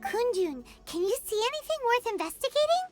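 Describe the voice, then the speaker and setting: A woman voicing a tiny, excitable floating girl companion who chirps and talks about herself in the third person. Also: very high voice, a little fast. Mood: curious. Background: loud game music.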